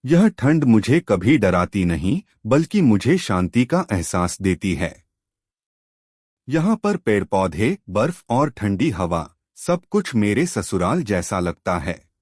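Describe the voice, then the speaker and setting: A man's voice: fairly low pitch, sing-song, slow, a little mellow, no echo, clean studio sound, heavily acted. A man voicing a tender young wife in a storybook tale, speaking earnestly and wistfully. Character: tender young wife who loves snowy forests. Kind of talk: storybook dialogue.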